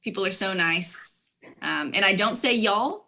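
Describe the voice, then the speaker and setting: A woman with a Texas accent chats as a talk-show guest. Her voice sits at a middling pitch and rings bright, and she sounds upbeat and easy. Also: animated, unhurried, vocal fry. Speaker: emergency management specialist, guest. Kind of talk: casual interview chat.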